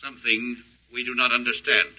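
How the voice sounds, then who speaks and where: An ancient alien conqueror in a classic radio play, his voice very bright and forceful. He speaks in stilted, formal sentences, precise and literal, without emotion. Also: mid-range voice, flat delivery, a little fast, low-quality recording. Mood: puzzled, literal. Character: emotionless male alien conqueror, precise, literal-minded, thousands of years old. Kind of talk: old radio drama acting.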